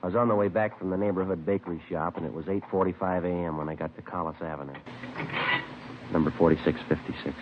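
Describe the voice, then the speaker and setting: An actor playing a terse, no-nonsense police detective sergeant in understated, deadpan 1950s radio drama style on an old, noisy recording. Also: male voice, deep voice, fast, dull audio, lightly acted. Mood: flat, matter-of-fact. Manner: deadpan, clipped.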